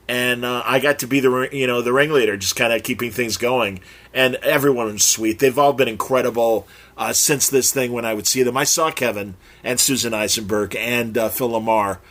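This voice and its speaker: A man's voice: medium pitch, brisk, fairly bright tone. A man hosting a podcast, introducing guests in a casual, stop-and-start way. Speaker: podcast host.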